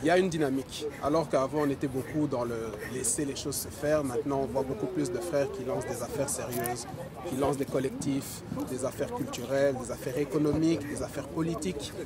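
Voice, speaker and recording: A man giving a reflective interview answer as an activist, measured and articulate, listing his points outdoors. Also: medium pitch, fairly even intonation. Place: outdoors in a city park. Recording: outdoor, very noisy urban crowd.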